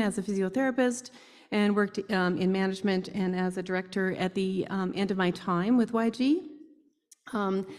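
An older woman from Saskatchewan talks through her own slides on a video call, personal and halting with ums and uhs. Her voice sits at a middle pitch. A long, roomy reverb trails her words. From Saskatchewan, Canada. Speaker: health research chair.

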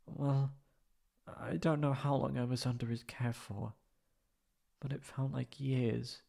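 A man voicing a wounded, anxious young catboy in an emotional ASMR roleplay, halting and stammering tearfully. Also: medium pitch, level tone, creaky, darkish tone. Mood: tearful, hesitant.

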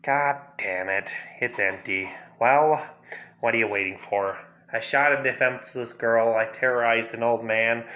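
Crazy clown villain from a comic, bright edgy voice, taunting and erratic. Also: male voice, medium pitch, steady tone, a little slow. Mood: defeated, goading. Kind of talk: fan dramatic reading of a comic.

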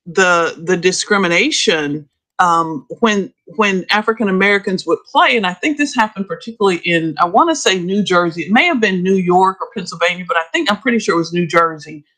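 Middle-aged woman historian on a video call, bright sharp voice, lively storyteller. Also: fairly low pitch, moderate pace. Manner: animated, laughing storyteller. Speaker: historian guest. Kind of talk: casual interview chat. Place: home room with bookshelves, indoors.

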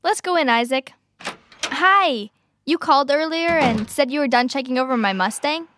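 An actress playing a patient, easily dismayed young big sister in an audio drama. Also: female voice, high-pitched, lively intonation, moderate pace, a bit bright, a little hiss or hum. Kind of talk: family audio drama acting.

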